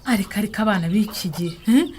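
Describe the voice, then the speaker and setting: A woman playing an affectionate, gently teasing mother of a student, with a Rwandan accent, in a radio drama. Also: medium pitch, racing, a little hiss or hum, natural voice.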